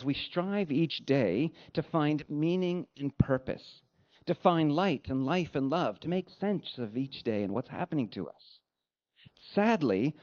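A man delivering a sermon as a pastor, speaking in an earnest, conversational way with deliberate phrasing. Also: a little high. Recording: dull, lo-fi sound.

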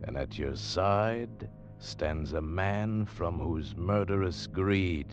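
A man's voice: low voice, slow. A man giving ominous scripted narration in a 1940s radio style. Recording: muffled old radio broadcast. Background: dramatic music.

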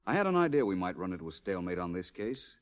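An actor playing a suave, self-assured detective in 1940s radio drama style, with a smooth, wry, deliberate delivery. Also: male voice, low voice, very animated. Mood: calmly explaining. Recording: muffled old 1940s radio recording.

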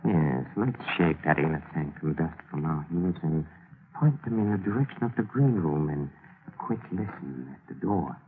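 A man announcing in a wry, smooth manner on an old radio program. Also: rumbling low, expressive, moderate pace. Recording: old tinny radio broadcast.

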